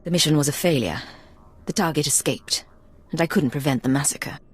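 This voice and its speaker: An actress playing a cool, resolute secret agent in a video game, terse and controlled. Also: female voice, low-pitched, brisk, faint music behind. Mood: cool, guarded.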